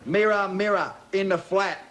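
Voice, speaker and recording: A high-pitched broad Aussie bogan storyteller. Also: male voice, moderate pace. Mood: cheeky, matey. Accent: broad Australian. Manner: laid-back, slangy, matey asides. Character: cheeky slangy Aussie bogan bloke reading storytime. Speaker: comic storyteller. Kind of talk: comic bedtime storytelling to a live audience. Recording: TV studio with loud audience laughter, noisy.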